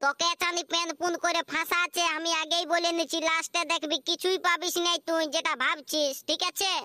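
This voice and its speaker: Brash nagging village pal, extremely high pitched-up cartoon voice, fast, Purulia Bengali accent. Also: male voice, level tone, pushed and bright, heavily acted. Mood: scolding. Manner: brash, scolding, slangy. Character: nagging, impatient village buddy, young man. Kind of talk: comedy cartoon dialogue.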